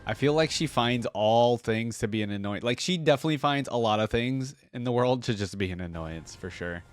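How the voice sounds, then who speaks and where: A man's voice: medium pitch, brisk, faint music behind. A young adult man giving casual reaction commentary, musing aloud in an informal way. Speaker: reaction video host.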